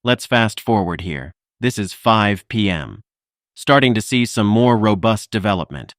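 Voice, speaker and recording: A man playing a composed weather livestreamer, giving measured, informal technical forecast commentary. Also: lowish voice, lively intonation, fairly bright tone, no room sound, clean studio sound. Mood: focused, analytical.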